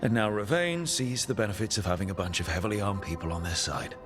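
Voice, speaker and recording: A man voicing a curious adventurer leader who asks calm, measured, probing questions in a game cutscene. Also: on the low side, over music, lightly acted. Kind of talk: video game cutscene dialogue.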